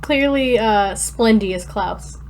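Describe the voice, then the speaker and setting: A woman's voice: fairly high pitch, takes it slowly, slight background noise. A woman co-hosting a podcast in casual, comedic banter. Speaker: podcast co-host.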